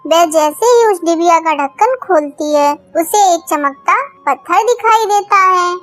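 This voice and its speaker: A woman's voice: extremely high pitch, measured pace, a bit bright, no room sound, faint music behind. A woman narrator reading a scripted children's story.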